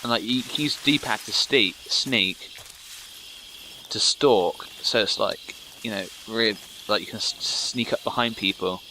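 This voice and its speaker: Bright-voiced man doing game commentary. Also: on the low side, a little slow, a little hiss or hum. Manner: sarcastic, self-deprecating. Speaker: let's play host. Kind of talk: casual gaming commentary.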